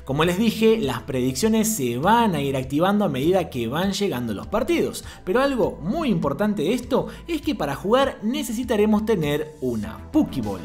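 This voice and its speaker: Woman narrator, scripted tutorial voice-over, lively pitch, smooth promotional delivery, faint music underneath. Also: moderate pace, hardly any pauses. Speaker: crypto channel host.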